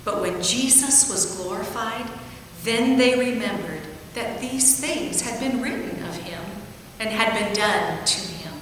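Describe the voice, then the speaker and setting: A woman's voice: mid-range voice, unhurried, a bit bright. A woman giving a formal reading from the Bible. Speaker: scripture reader. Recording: very echoey large hall, distant, faint noise.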